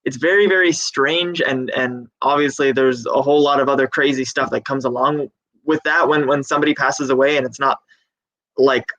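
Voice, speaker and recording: A man on an online panel, speaking quietly and haltingly about something painful. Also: medium pitch, steady tone, low-quality recording.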